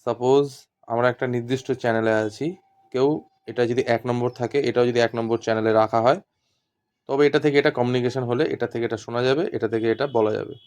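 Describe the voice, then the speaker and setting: A man's voice: mid-range voice, fairly even intonation, fast, darkish tone, clear, resonant tone. A man giving an informal hands-on tutorial as an instructor, explaining step by step and repeating himself. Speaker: instructor demonstrating walkie-talkies.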